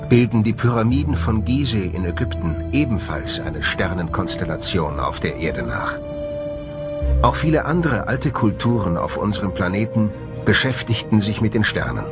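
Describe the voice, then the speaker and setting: A man reads scripted documentary narration in a measured, solemn way. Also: on the low side, animated. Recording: music bed under the voice. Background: music.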